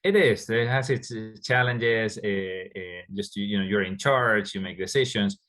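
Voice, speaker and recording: A man from Ecuador, a Spanish speaker, answering interview questions as a doctor, halting with many fillers and restarts. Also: lowish voice, a bit bright. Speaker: endocrinologist guest.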